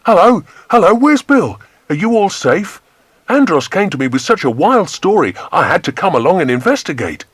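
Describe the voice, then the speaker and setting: A hearty pilot mate in an audio drama, brisk, emphatic, very lively pitch. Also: male voice, breathy edge, no echo, exaggerated voice. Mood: hearty concern. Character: hearty, concerned pilot friend coming to help.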